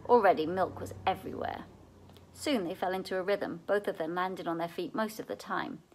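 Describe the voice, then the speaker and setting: A woman reading a children's novel aloud in an expressive storytelling way, as at a library read-aloud. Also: lowish voice, fairly quick.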